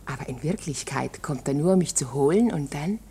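An actress playing a flirtatious, chatty wife of a court usher in a radio drama, colloquial and coaxing. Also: female voice, low-pitched, lively intonation, a little fast, dark tone, a little hiss or hum. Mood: flirtatious, confiding.

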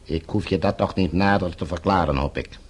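An actor in a radio play, playing a coldly polite blackmailing villain with formal, measured menace. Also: male voice, low voice, slightly rough. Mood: commanding, threatening. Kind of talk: radio drama acting.

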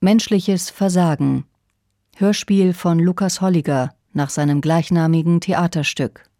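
A woman's voice: on the low side, measured pace, mellow, no echo, clean studio sound. A woman radio announcer reading scripted credits.

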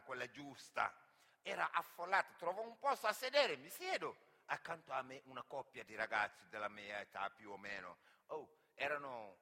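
Animated storyteller, bright forceful male voice. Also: medium pitch. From Senegal. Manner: animated, acts out dialogue, addresses the audience. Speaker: actor and griot storyteller. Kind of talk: theatrical autobiographical monologue.

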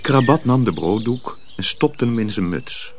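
A man narrating a radio play in a measured, literary storytelling manner, as a sober teller of a dark folk tale. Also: medium pitch, lively intonation, a little hiss or hum, close to an everyday voice. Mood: measured, grave.

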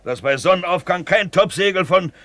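An actor playing a grizzled, blustering grey-haired merchant captain in a radio drama, with a hoarse, salty, emphatic delivery. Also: male voice, high-pitched, lively intonation, fairly quick, bright, forceful tone, no room sound. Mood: storytelling. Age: older. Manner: bawling, emphatic, salty storytelling. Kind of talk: 1970s German radio drama acting.

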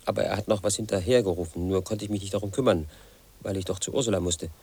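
A brooding, quietly resentful man in his thirties recounts his story for a radio play. His voice sits low and husky. He talks in a flat, insistent way, as if nothing could be his fault. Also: fast talker. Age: 30s. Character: obsessive, aggrieved man brooding over his ex. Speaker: narrator of his own story. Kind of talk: 1980s radio play monologue.

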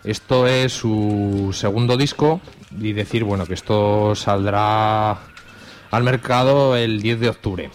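A man from Spain with a Spanish accent hosts an informal music radio show in a casual, halting way. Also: on the low side, fairly even intonation, slight background noise.

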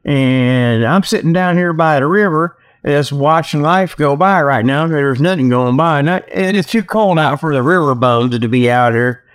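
A man with a slightly high voice hosts a casual, humorous show. He rambles in a folksy, joking way and keeps getting sidetracked. He is speaking outdoors straight into a tablet resting on his leg. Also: moderate pace, hardly any pauses. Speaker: host of a comedic report show. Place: outdoors, sitting by a river. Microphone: tablet's built-in mic, resting on leg.

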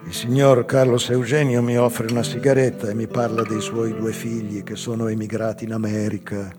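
An older man giving a slow, measured, theatrical reading of a literary text, with a husky, dark voice. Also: lowish voice, little pitch movement. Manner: slow, measured, theatrical delivery with swelling and hushed phrases. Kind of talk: dramatic literary narration with live music. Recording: long hall reverb, faint music. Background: live music.

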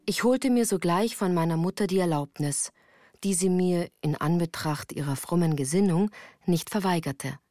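A woman playing a melancholy narrator who recounts her hard youth in a measured, earnest radio drama style. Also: on the low side, dark tone, dry recording, clean studio sound, close to an everyday voice. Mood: reflective, earnest.

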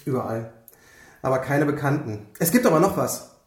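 A man playing a dry, matter-of-fact crime scene technician in a German radio crime drama, reporting in a deadpan way. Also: mid-range voice, normal speed, lightly acted. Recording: echoey room.

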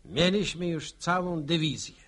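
An actor playing a modest, plain-spoken village veteran telling his story without hurry in a radio drama, his voice husky. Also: fairly high pitch, lively intonation, a little slow, fairly bright tone. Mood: proud recollection.

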